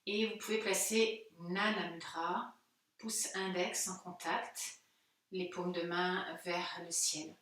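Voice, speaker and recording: A woman, a yoga teacher giving calm, unhurried and soothing guided relaxation instructions. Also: on the low side. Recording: very echoey room, distant microphone.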